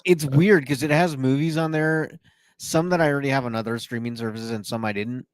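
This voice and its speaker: A man's voice: mid-range voice, a little fast, dry recording. A man co-hosting a podcast, telling a long personal story in casual chat with plenty of fillers and restarts. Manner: talkative storyteller, many fillers. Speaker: podcast co-host.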